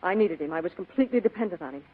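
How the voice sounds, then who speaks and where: Quick, pleading femme fatale, tinny recording. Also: female voice, lowish voice, expressive, breathy edge. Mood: guarded. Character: pleading, secretive female femme fatale client. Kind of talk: 1940s radio acting. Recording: tinny, narrow old radio broadcast.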